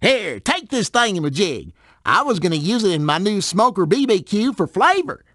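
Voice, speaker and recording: A man's voice: high-pitched, very animated, normal speed, fairly bright tone, dry recording, studio quality, exaggerated voice. A man voicing a simple, good-natured video game farmer with a folksy rural American accent. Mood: folksy, puzzled. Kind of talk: video game character dialogue.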